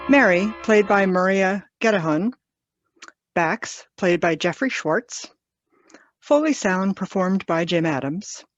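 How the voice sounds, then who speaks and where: Female announcer, mid-pitched, scripted credit read, slightly muffled lo-fi sound with music underneath. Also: no room sound.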